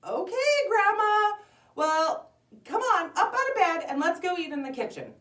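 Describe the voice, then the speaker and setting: A woman's voice: very high voice, big pitch swings, moderate pace, fairly bright tone, voice pushed up, heavily acted. A woman voicing a polite, innocent, hesitant little girl in a children's storybook tale. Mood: cheerful, coaxing. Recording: roomy echo, distant from microphone.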